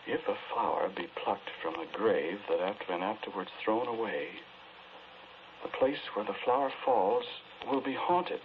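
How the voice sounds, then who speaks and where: An actor playing a haunted, guilt-ridden man, grave and quietly intense, in 1940s radio drama style. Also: lowish voice, moderate pace, lightly acted. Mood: baffled, uneasy. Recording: noisy, muffled 1940s radio recording.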